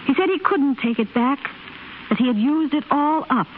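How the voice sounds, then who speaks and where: Young widow, highish refined voice, 1930s radio acting, thin recording. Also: female voice, lively intonation, narrow, tinny audio, a little hiss or hum. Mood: composed, gracious. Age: young adult. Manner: polite, measured, refined. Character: gracious, composed young widow, quietly grieving.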